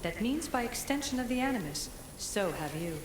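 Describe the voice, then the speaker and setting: An actress playing a solemn, cryptic ancient guide spirit in a video game, speaking in a formal, portentous manner. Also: female voice, fairly high pitch, sing-song, normal speed. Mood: serene. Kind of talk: video game cutscene acting. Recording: echoing voice with background noise.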